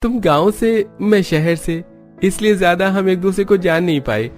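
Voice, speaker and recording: Male narrator as amiable young groom, voice pitched up, cartoon tale. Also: moderate pace, soft background music, natural voice. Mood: friendly, curious. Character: friendly young city bridegroom.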